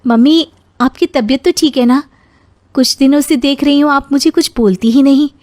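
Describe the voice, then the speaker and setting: A woman's voice: high-pitched, moderate pace, darkish tone, no room sound, close to an everyday voice. A woman playing a polite, patient young Indian daughter-in-law in a dramatized moral story, speaking earnestly and respectfully. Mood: concerned.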